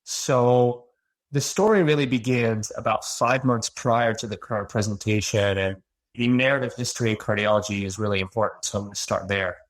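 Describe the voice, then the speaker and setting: A man from Los Angeles, a cardiology fellow who presents a patient case in a measured, narrative way. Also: lowish voice. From Los Angeles, USA.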